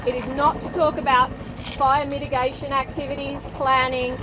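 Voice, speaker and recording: An Australian woman with a loud, projecting voice, chairing a fire service community briefing outdoors. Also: sits high, flat delivery, normal speed. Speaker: fire service public information officer chairing a meeting. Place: outdoors, near traffic. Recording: outdoor recording with loud background noise.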